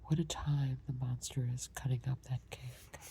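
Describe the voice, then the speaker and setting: A woman reads a storybook aloud almost entirely in an unvoiced whisper. Her sound is breathy and mellow, sitting very low, with a grainy, gravelly edge when the voice comes through. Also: fairly even intonation, normal speed. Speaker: storybook reader.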